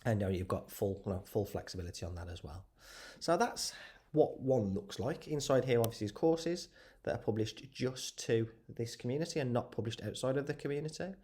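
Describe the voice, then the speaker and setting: A man with a head cold and a slightly nasal voice, walking through software on screen in a relaxed way and often correcting himself. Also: mid-range voice, a little fast. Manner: relaxed, self-correcting, lots of fillers. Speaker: software tutorial presenter. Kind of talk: screen-share software walkthrough.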